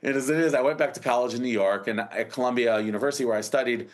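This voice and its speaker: An American man in his forties tells his story as a guest in a casual interview. He rambles through many false starts, restarts and fillers. His voice sits at an ordinary pitch for a man. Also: rapid-fire. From United States.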